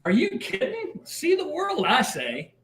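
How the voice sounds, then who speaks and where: A man's voice: very high voice, wildly swooping pitch, unhurried, fairly bright tone, full character voice. A man playing a jovial small-town mail carrier in a sitcom table read, cheery, exclamatory and teasing. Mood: breezy, jovial.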